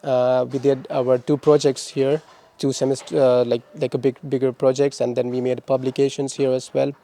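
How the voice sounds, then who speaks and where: A young man from Pakistan talks through interview questions in a halting way, often restarting and repeating words. His voice is mellow and dark, and his pitch stays flat and even. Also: vocal fry. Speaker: interviewee, former master's student. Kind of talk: casual interview answers.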